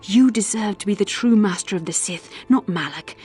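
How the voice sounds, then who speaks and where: An actress playing a proud, seductive fallen Jedi in a video game, speaking with intense, deliberate delivery. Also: female voice, medium pitch, warm tone, slightly rough, soft background music, natural voice. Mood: persuasive. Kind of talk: dramatic video game acting.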